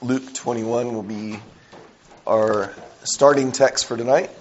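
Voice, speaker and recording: A man preaching a sermon with a hoarse, raspy, weak voice from a sore throat, speaking conversationally with frequent pauses and fillers. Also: mid-range voice, steady tone, a little mellow, lo-fi sound, a little hiss or hum.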